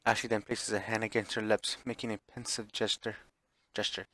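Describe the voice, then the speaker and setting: A man's voice: on the low side, flat delivery, brisk, bright, forceful tone. A man streaming a visual novel, chatting casually as he plays and reading the text aloud, sometimes stumbling and correcting himself.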